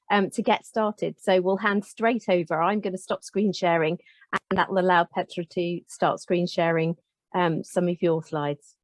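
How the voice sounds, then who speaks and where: A woman co-hosting an online event, introducing a guest in a chatty, brisk way on a video call. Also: medium pitch, no room sound.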